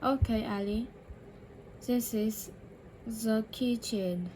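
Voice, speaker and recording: A woman practising English by repeating a dialogue line by line, slowly and carefully, with occasional self-corrections. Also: on the high side, mellow, a little hiss or hum, low-quality recording. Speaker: English learner.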